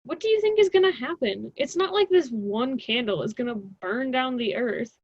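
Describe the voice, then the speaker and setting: A woman's voice: fairly high pitch, lively intonation, normal speed, no echo. A young woman playing an impatient, scheming college student with a flippant, casual manner in an amateur radio drama. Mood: grudging. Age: young adult. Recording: dull, dry lo-fi recording.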